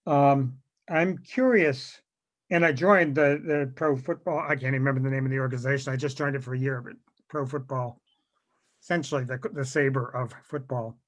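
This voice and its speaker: A man's voice: fairly high pitch, a bit bright. A man moderating a casual video-call discussion, rambling a little and correcting himself as he goes. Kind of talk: casual discussion, asking questions. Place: virtual meeting on video call.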